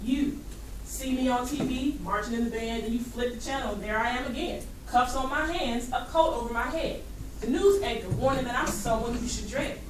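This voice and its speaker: Woman reading as a defiant, wounded teenage schoolboy in a poem, rhythmic and raised in pitch, in an echoey room. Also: moderate pace, natural voice. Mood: defiant, hurt. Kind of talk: spoken poem read aloud. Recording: echoey noisy classroom, distant mic.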